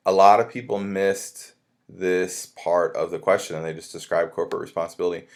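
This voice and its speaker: Deep-voiced man teaching informally. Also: fairly even intonation, a little fast. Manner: conversational, explanatory. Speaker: college instructor. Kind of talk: informal lecture walking students through assignments.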